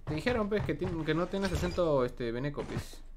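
Man, fairly high voice, chatty gaming stream, noisy background. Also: expressive, normal speed. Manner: chatty, greets viewers by name, laughs often. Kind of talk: casual live-stream chat. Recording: background noise.

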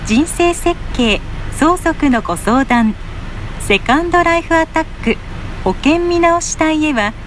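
Formal lady doing bus ad spots, really high voice, bit of road noise. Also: animated, unhurried, low-quality recording. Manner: polite, formal delivery. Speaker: advertising announcer on a bus. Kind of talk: scripted advertising announcement. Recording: faint vehicle noise behind.

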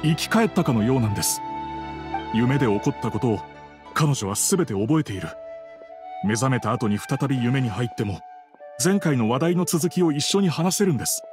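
A man's voice: medium pitch, lively intonation, moderate pace, music in the background, close to an everyday voice. A man playing a grieving widower in an anime game, speaking politely, earnestly and with hesitation. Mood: wistful. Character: grieving adult widower, polite, troubled villager. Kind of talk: Japanese anime game voice acting.

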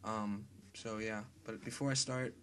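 A congested young man with a cold introduces his song demo in a quiet, mumbled, quick casual way, talking into a webcam in a small bedroom. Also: fairly low pitch, fairly even intonation, a little hiss or hum. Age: young adult. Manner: quiet, mumbled, fast and filler-heavy talk. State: congested with a cold and sore throat. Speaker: singer-songwriter. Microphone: webcam close up. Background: acoustic guitar.

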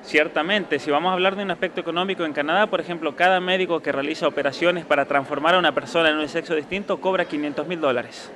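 A young man from Argentina, his accent softened by years abroad, answering interview questions in an earnest, emphatic way. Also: fairly high pitch, steady tone, fast, hardly any pauses, a crowd in the background. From San Juan, Argentina. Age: young adult. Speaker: author being interviewed.

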